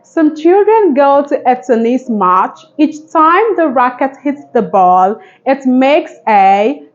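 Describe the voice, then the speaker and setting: A woman's voice: sits high, lively intonation, slow pace, muffled recording. A woman hosting a phonics lesson for young children, speaking in an encouraging, sing-song manner with simple repeated instructions. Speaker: phonics teacher and channel host.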